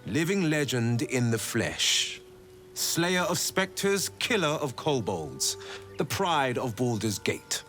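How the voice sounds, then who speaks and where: An actor playing a swashbuckling, boastful young monster hunter in a fantasy video game, with charming, theatrical flourishes. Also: male voice, mid-range voice, sing-song, slow pace, a bit bright, faint music behind.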